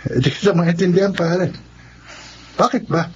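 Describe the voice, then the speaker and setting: A man's voice: high-pitched, moderate pace, darkish tone, a little hiss or hum, close to an everyday voice. A man playing a concerned, easily flustered young Filipino friend, talking colloquially in a muffled radio drama.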